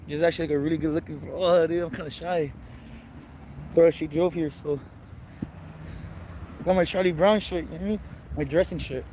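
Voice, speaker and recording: A young man livestreaming and chatting to his viewers, nervous and halting, stumbling through restarts and fillers, with English as his first language. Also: fairly high pitch, fairly even intonation, warm tone, slight background noise, rough recording. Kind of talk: IRL livestream chat. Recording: music playing underneath. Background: music.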